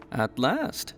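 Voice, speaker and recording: A man's voice: mid-range voice, full character voice. A man playing a cocky, inquisitive young aspiring politician in an audio drama, chatty and teasing.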